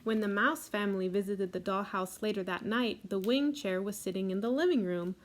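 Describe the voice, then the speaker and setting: A woman reading a picture book aloud for a library storytime, adding gentle asides about the pictures. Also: mid-range voice, normal speed, slight background noise.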